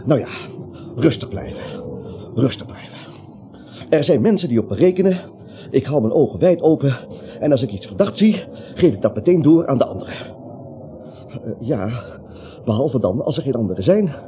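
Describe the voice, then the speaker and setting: A man's voice: medium pitch, big pitch swings, normal speed, long pauses, warm tone, lightly acted. A male actor playing an anxious, timid little man in a radio play, jittery, rambling and stammering. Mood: nervous, muttering. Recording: dull, slightly noisy radio recording.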